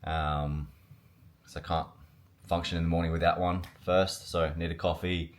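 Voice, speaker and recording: A man's voice: sits low, level tone, normal speed. A young man from Australia narrating his own business vlog in a candid, upbeat, casual way, with the odd swear word. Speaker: vlogger and business owner.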